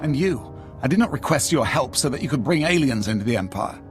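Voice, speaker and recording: Casting: male actor as haughty, impatient military commander, clipped and authoritative, medium pitch, sci-fi game with faint score underneath. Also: expressive, brisk, music in the background, natural voice. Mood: indignant, disdainful. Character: brusque, commanding Imperial military officer, proud and impatient. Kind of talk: video game cutscene acting.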